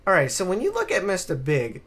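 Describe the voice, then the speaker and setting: A man with a slightly higher voice talks in a loose, casual podcast style. He leans on repeated words for emphasis and sounds exasperated as he rants, swearing freely. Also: wildly swooping pitch, brisk, fairly bright tone. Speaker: podcast host. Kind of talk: casual chat, ranting film commentary.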